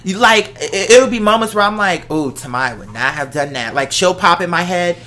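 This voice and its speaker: Bright-voiced host in casual, storytelling chat. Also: mid-range voice, moderate pace. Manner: animated storytelling, explains with examples and acted-out quotes.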